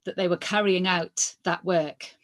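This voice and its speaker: A woman's voice: fairly low pitch, steady tone, a little slow. A woman reads aloud in the role of a lawyer at a hearing. Her delivery is formal and questioning, with small stumbles as she works through the transcript.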